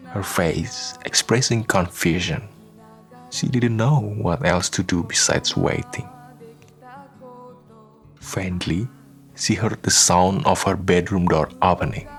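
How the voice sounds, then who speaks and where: Deep, slow, solemn male narrator. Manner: solemn, measured. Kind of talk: scripted radio drama narration. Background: faint music.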